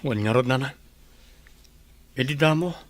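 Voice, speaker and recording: An actor with an Ilocano accent playing an earnest, troubled grown son in a radio drama. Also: male voice, medium pitch, a little slow, dry recording, lightly acted. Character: troubled, earnest adult son confiding in his mother.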